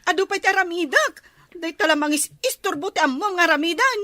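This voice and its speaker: A woman's voice: very high voice, animated, a little fast, bright, forceful tone, no room sound, studio quality, exaggerated voice. A woman acting a busy, exasperated wife and mother in an Ilocano radio drama, nagging and complaining with an Ilocano accent. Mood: harried, annoyed. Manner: complaining, scolding.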